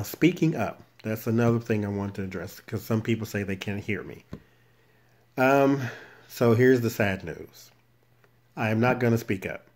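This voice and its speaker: A man with a very soft, naturally muffled voice, chatting casually to his viewers as a tarot channel host, recorded on a phone at home. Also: lowish voice. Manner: quiet, unhurried, never raises his voice. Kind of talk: casual vlog chat to viewers. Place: shared room at home. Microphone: cell phone camera.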